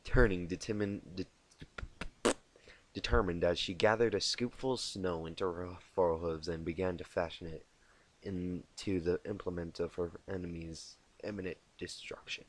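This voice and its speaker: A man narrating a storybook tale as an amateur reader, halting and often repeating words he stumbles on. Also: deep voice, fairly even intonation, a little hiss or hum, rough recording. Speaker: amateur fan fiction reader. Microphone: inexpensive home microphone.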